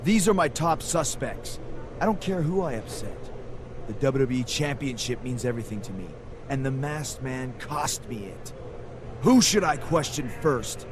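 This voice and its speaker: A man's voice: medium pitch, lively intonation, moderate pace, lightly acted. A man playing a determined, suspicious pro wrestler hunting a culprit in a video game story. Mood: brooding, resolute. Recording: clear background noise.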